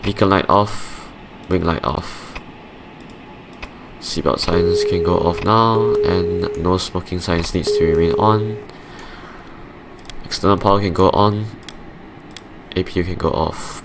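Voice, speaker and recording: A young man with a Singaporean English accent, his low voice gravelly and raspy as if he has just woken up. He talks slowly and haltingly to himself while working through an aircraft shutdown checklist. Loud engine hum runs underneath him. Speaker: flight sim streamer. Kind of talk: casual play-by-play of a simulated flight.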